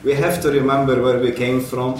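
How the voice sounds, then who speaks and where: Flat-voiced man hosting a podcast. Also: mid-range voice, fairly quick. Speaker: podcast host. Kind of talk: interview podcast. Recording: roomy echo with some background noise.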